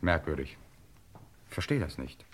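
A man's voice: rumbling low, expressive, a little slow, very noisy. Brooding, swooping wealthy man in crime drama. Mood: unsettled. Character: wealthy, shaken Hollywood man piecing together a murder. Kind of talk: German radio crime drama acting.

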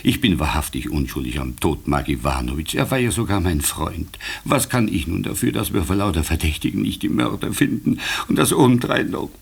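Husky, wildly excitable examining magistrate. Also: male voice, deep voice, brisk, hardly any pauses, full character voice. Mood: wheedling, weary. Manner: wheedling, effusive, easily agitated. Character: flustered, pleading examining magistrate, male, desperate and excitable. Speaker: actor in a radio play. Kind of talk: 1950s German radio play acting.

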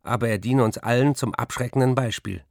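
An actor playing a reflective writer who recalls his bookish childhood in measured, literary phrases for a radio play. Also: medium pitch, steady tone, vocal fry, no room sound, studio quality, close to an everyday voice. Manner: measured, literary first-person recollection.

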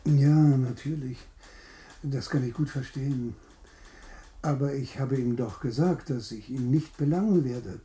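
An actor playing a courteous gentleman captain in a radio drama, formal, measured and tactful. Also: mid-range voice, roomy sound, close to an everyday voice. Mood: grave, courteous. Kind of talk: German radio drama acting.

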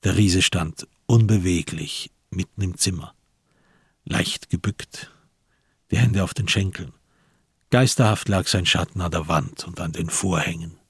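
A man narrating a radio crime drama in a measured, literary, sober way, with a husky voice. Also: low voice, no echo, studio quality, exaggerated voice. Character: sober storyteller of a crime tale.

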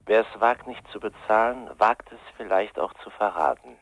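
An actor playing a cold, contemptuous gangster in a radio crime drama, slow and threatening. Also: male voice, lowish voice, no echo, dull audio, close to an everyday voice. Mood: cold menace. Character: menacing male gangster delivering an ultimatum, cold and contemptuous.

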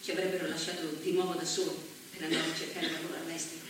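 An actress playing a woman politician recalling the postwar years in a slow, measured, solemn monologue on a stage. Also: low voice, flat delivery, natural voice. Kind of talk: theatrical monologue. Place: theatre stage. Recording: echoey stage from far off, faint hiss.

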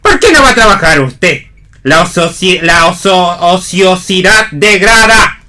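An Argentine park guard in radio theatre. He is scolding and declamatory, with a high, forceful, bright voice. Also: animated, a little slow, full character voice.

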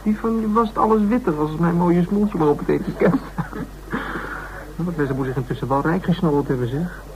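A woman's voice: on the low side, fairly quick, crowd noise, natural voice, rough recording. Scornful, catty gossiping woman played by an actress. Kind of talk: Dutch radio crime serial acting.

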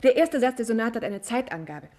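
A woman's voice: a little high, big pitch swings, a little fast, dark tone. An actress playing a sensitive, modest young woman pianist in a 1950s radio play, hesitant and uneasy in manner. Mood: unsettled. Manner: hesitant, self-correcting.

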